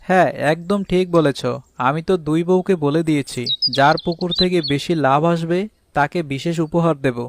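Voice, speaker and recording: Man acting practical village husband, higher-pitched voice. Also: normal speed, natural voice. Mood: friendly. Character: village husband, fish farmer, practical and good-humoured peacemaker. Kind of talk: animated fairy tale voice acting. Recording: clearly noisy track with birdsong.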